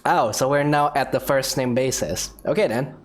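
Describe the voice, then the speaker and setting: A woman's voice: very deep voice, moderate pace. A woman giving casual commentary as she plays through a video game. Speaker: gamer commenting on her playthrough. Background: game music.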